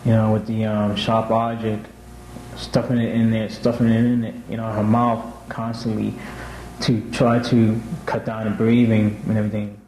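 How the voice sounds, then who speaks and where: A man giving a halting, fragmented statement on a police videotape. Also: fairly low pitch, monotone, dark tone. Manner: hesitant, fragmented. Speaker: police suspect. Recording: echoey, noisy police interview videotape.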